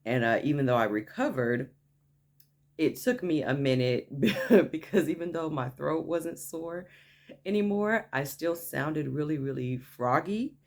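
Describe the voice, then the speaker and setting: A woman with a low, naturally deep voice talks straight to her viewers in a casual story-time vlog. She is chatty and enthusiastic, piling on "okay?" asides as she builds up excitement. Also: measured pace. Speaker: vlogger.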